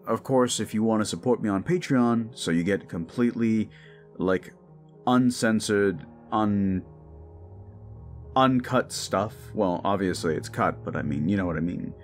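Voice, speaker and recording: A man giving an informal, rambling outro to his listeners as the creator of an audio drama. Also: on the low side. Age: adult with young child. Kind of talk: casual outro chat to listeners.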